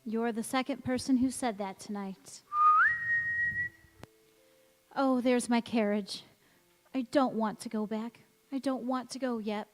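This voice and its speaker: A woman playing a strange, self-possessed young woman in a radio drama, speaking earnestly and deliberately. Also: a little high, steady tone, a little mellow, faint music behind, natural voice. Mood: wistful. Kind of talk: stage radio drama acting. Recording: echoey church hall.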